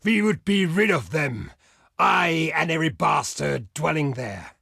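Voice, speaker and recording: A proud barbarian envoy from a strategy game, with a rough, gravelly and husky voice. He declaims formally and slowly, weighing each phrase like a grave warrior. The sound is close and dry. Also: on the high side, animated, sharp tone, no echo, exaggerated voice. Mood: menacing, blunt. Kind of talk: scripted video game diplomacy lines.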